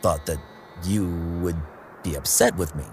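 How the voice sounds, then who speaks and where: A man playing a sheepish, halting underling in a cartoon demo. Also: low voice, darkish tone, lightly acted. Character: hesitant, dim, low-voiced underling. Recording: music bed under the voice.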